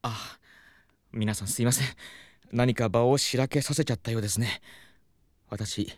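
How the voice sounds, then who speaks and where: A man's voice: mid-range voice, normal speed, no echo, studio quality, close to an everyday voice. A man playing a polite, worry-prone young talent manager who gets whiny and flustered, in a comedic audio drama. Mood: apologetic, glum. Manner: polite, anxious, excitable.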